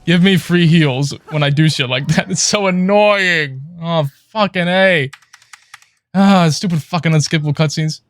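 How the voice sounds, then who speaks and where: A man's voice: on the high side, measured pace, dry recording. A man livestreaming a video game with casual, foul-mouthed, exasperated commentary. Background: video game music.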